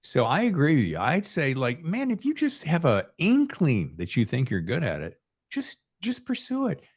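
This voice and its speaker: An American man hosting a podcast, telling anecdotes in a casual, animated storytelling manner. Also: on the high side, moderate pace. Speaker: podcast host; former radio morning show host.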